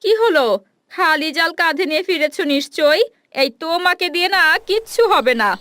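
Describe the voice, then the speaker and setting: Woman playing shrill nagging village housewife. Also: a little fast, fairly bright tone, no room sound, clean studio sound. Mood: sarcastic, annoyed. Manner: scolding, complaining. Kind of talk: cartoon fairy-tale voice acting.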